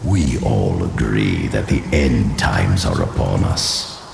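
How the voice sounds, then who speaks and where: A man voicing an ancient, scheming sorcerer who speaks slowly and condescendingly with a deep, gravelly voice. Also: lively intonation, darkish tone, slight background noise, full character voice. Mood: persuasive. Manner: slow, measured, condescending. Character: ancient scheming male Horadric sorcerer, silky and proud. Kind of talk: video game cutscene dialogue. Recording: roomy game mix with music.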